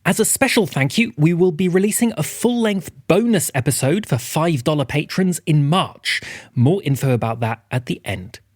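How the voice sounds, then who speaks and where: A man's voice: a little high, lively intonation, normal speed, a little mellow, no room sound, clean studio sound. A man speaking as a podcast host, reading a scripted announcement to listeners.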